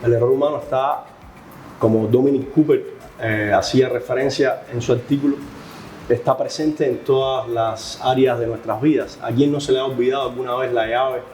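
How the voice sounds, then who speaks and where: A man's voice: mid-range voice, darkish tone, slight background noise. Cuban-accented man explaining in an echoey room. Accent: Cuban Spanish. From Cuba. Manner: measured, explanatory, frequent hesitations. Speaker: guest expert, professor. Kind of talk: podcast interview answers.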